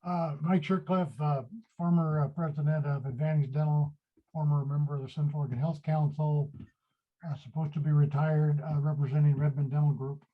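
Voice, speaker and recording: A man's voice: a little high, level tone. Hesitant older man on lo-fi audio. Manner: slow, hesitant, with many "uh"s. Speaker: retired dental executive. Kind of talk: self-introduction over remote link. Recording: dull lo-fi remote audio.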